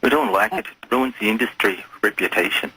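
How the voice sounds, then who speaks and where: A man calling in to a radio show, talking in a folksy, calmly menacing way. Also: lowish voice, brisk, slight background noise, rough recording. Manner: folksy, calmly menacing, addresses others as lad and lassie. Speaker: phone-in caller. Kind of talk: casual conversational radio call.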